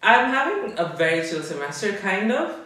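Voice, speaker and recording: A man's voice: high-pitched, sing-song, normal speed, bright, forceful tone, a little hiss or hum. A young man from India chatting casually as an interviewee on a living room sofa. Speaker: student interviewee. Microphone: far camera. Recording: very echoey room with music.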